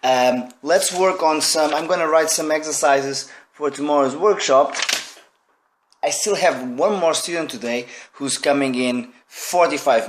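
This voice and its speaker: A tired, hoarse man, a drum teacher, talking casually to camera in a vlog about his teaching day. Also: medium pitch, a little hiss or hum.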